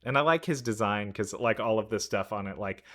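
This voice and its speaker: A man's voice: on the low side, a little fast, a bit bright. A man hosting a reaction video, chatting casually and enthusiastically as he thinks aloud. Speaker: reaction video host, gamer.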